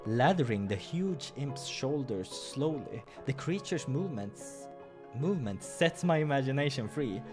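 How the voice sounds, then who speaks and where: A man narrates a visual novel playthrough in a mid-pitched voice, slipping between story reading and casual commentary. Music plays clearly behind him. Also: lively intonation, unhurried. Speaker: game playthrough host and narrator.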